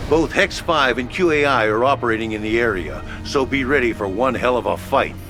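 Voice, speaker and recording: A man's voice: mid-range voice, animated, music in the background, lightly acted. Man as commanding military headquarters officer. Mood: firm, urgent. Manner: brisk, commanding, colloquial. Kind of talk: video game mission briefing.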